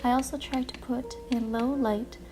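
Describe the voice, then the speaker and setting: A woman hosting a guided relaxation session, speaking in a calm, gentle, deliberate way with soothing narration. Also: sits high. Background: music playing behind the voice.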